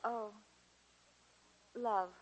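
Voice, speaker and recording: An actress playing a romantic, impulsive young grandniece with dramatic earnestness, in 1940s radio drama style. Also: female voice, a little high, fairly even intonation, drawn-out words. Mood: playful, then confessing. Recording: dull 1940s radio broadcast recording.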